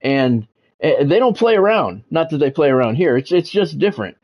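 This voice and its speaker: Man streaming measured sports talk. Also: on the high side, big pitch swings, darkish tone. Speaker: game streamer and sports commentator, former radio play-by-play announcer. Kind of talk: livestream monologue, sports talk to chat viewers.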